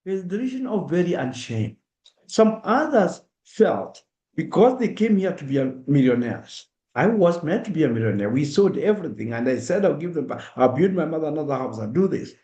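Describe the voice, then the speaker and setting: A middle-aged man with a Kenyan accent talks earnestly and directly to a community gathering, slipping into first-person examples. His voice is mellow and sits a little high. The sound carries the echo of a roomy hall. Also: very animated, normal speed, dull audio. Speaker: speaker at a diaspora awareness event. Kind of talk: public talk. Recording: echoey room.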